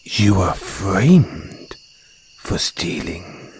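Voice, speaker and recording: A man acting a territorial male naga in an intimate audio roleplay, speaking in a hushed, deliberate, breathy voice with long pauses. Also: sits low, very animated, a little hiss or hum, full character voice. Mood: wary, questioning. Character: wary, territorial male naga, softening into flirtatious care. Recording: jungle insect ambience behind voice. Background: crickets and jungle ambience.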